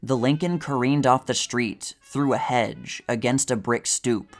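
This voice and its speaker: A man narrating a scripted, vintage news-style article in measured, deliberate phrasing. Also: mid-range voice, soft background music.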